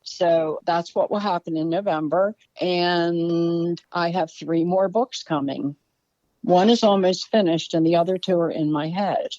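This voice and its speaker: Older baltimore lady telling stories, kinda low voice, dull dry sound. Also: steady tone, unhurried, dry recording. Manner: chatty, warm storyteller. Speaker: guest author. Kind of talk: casual podcast conversation.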